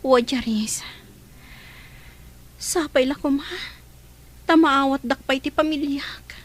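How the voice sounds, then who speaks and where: A woman's voice: sits high, slow. A woman acting a young, tearful, pleading adopted daughter in an Ilocano radio drama. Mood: grateful.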